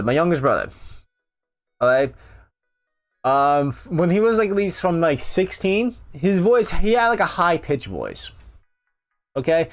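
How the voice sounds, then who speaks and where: A young man chatting casually with viewers, rambling with lots of fillers and restarts. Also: mid-range voice. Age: young adult. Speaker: youtuber answering viewer questions. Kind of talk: casual chat.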